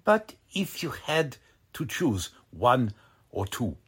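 An actor playing a smug, courteous Nazi commander who speaks deliberately, in the manner of 1940s radio drama. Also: male voice, mid-range voice, big pitch swings, fairly bright tone, slightly rough, exaggerated voice. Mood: probing, businesslike. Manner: courteous, deliberate, self-satisfied. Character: smug, scheming male Nazi spymaster, a commanding officer.

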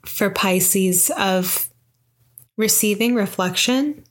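Soft-spoken reflective young woman. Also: medium pitch, slow pace. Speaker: podcast co-host. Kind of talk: casual conversation about astrology.

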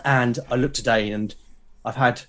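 A man's voice: medium pitch, steady tone, normal speed, fairly bright tone. A man chatting casually as a podcast guest, enthusiastic but hesitant, often repeating words as he goes. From Britain. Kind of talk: casual conversational interview.

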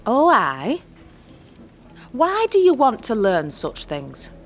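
Gruff old folksy wise-woman, animated. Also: on the high side, slow, a little hiss or hum, heavily acted. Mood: stern, warning. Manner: rustic dialect, blunt, scolding. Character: gruff old village witch woman, wary, folksy, teasing. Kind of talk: audio drama acting.

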